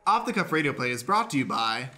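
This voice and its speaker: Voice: medium pitch, very animated, quick pace. A stage manager reading out scripted stage directions for a radio play. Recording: loud music over the voice.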